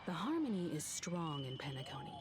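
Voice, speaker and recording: A woman playing a shrewd, poised, analytical character in a fantasy video game, reasoning aloud deliberately. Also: lowish voice, wildly swooping pitch, music in the background. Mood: speculating, measured. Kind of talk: scripted game dialogue.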